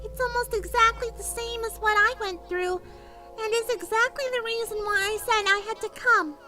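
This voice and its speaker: A woman's voice: very high-pitched, fairly even intonation, normal speed, music in the background, voice pushed up. A woman voicing a perky, earnest young female cartoon pony in a fan fiction reading, speaking emphatically. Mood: serious, urgent.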